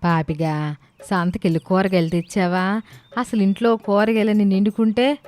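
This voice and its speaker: A woman's voice: mid-range voice, fairly even intonation, normal speed, darkish tone, natural voice. A woman playing a bossy, sensible older village aunt who talks in colloquial, scolding, speech. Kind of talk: animated moral-story dialogue.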